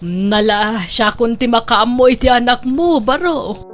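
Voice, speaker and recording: A concerned older motherly neighbour in a Filipino drama story acted by a woman. She has a fairly high voice and an Ilocano accent, giving advice in an earnest way. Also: measured pace, soft background music, lightly acted. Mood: advising. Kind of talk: Filipino audio drama acting.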